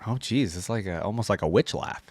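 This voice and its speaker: A man's voice: lowish voice, fairly quick, over loud music. A man hosting a reaction video, rambling conversationally and thinking aloud as he comments on game voice lines.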